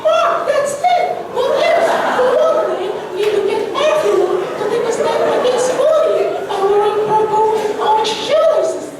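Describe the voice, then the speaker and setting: A woman's voice: very high voice, animated, moderate pace, few pauses, a crowd in the background. A female reader playing an eager, upbeat schoolgirl in an amateur reader's theatre performance. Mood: excited. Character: Grace, eager schoolgirl organising friends, upbeat. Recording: very echoey room, distant mic, faint noise.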